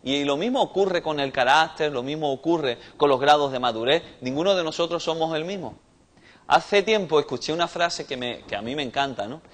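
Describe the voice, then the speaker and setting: A man's voice: fairly high pitch, expressive, fairly quick. A man with a Cuban accent preaching a sermon in a conversational way that rises in force on key points. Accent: Cuban Spanish. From Cuba. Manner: conversational preaching, swelling louder on big points.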